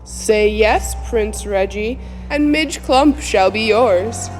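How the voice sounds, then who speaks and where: Slow, sinister temptress, played by a woman over music. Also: mid-range voice, natural voice. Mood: coaxing menace. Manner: slow, coaxing, menacing. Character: sinister seductive female tempter bargaining for a soul. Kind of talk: audio drama acting.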